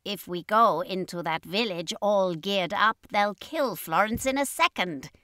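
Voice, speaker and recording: A female reader plays a principled Martian in an audiobook fantasy. The voice is indignant, at middling pitch, recorded dry. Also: measured pace, bright, forceful tone, no room sound.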